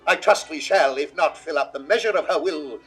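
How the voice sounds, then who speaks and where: An actor playing an imperious king in a 1960s classical Shakespeare audio drama, declaiming in a husky, theatrical voice. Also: a little fast, faint music behind, lightly acted. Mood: commanding, expansive. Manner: declamatory, theatrical, swinging from hushed to booming. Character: imperious, grandiose king, bargaining and commanding.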